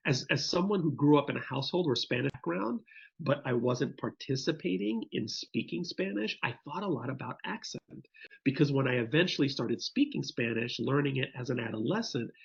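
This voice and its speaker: A man's voice: medium pitch, vocal fry, breathy edge, lo-fi sound. A man from the Bronx answering interview questions thoughtfully, often restarting his sentences. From Bronx, New York. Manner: thoughtful, with frequent restarts and fillers. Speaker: podcast guest.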